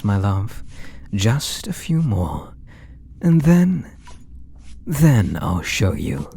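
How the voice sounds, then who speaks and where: Man acting a slow, obsessive British artist lover. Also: fairly low pitch, exaggerated voice. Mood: possessive, intense. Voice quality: soft and breathy, often dropping to whispers. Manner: tender, slow, menacingly intimate. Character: obsessive, possessive lovestruck male artist. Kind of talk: ASMR roleplay acting.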